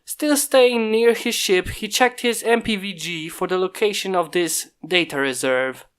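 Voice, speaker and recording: An amateur reader narrates a fan-fiction chapter at an even storytelling pace, voice neither high nor low. The home microphone is failing and lets through occasional pops. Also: measured pace, clean tone. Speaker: fanfiction reader.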